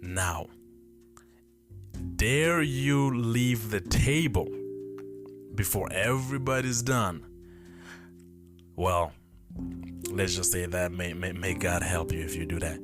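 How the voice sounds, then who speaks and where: A young man in his twenties with a deep, low voice hosts his own podcast, recalling early memories in a loose, rambling way. He often stops to correct himself and thinks out loud. Guitar music plays clearly behind him. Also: takes it slowly, pauses often. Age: 20s. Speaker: podcast host. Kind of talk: casual solo storytelling. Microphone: camera mic.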